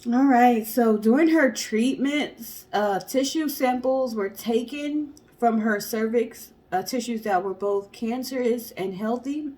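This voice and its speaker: Female storyteller and co-host, slightly high voice, casual read-from-notes delivery. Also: slow, few pauses, mellow.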